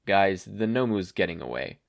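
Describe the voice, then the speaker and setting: A man's voice: low voice, very animated, normal speed, creaky, full character voice. Man as a composed, blunt teenage boy.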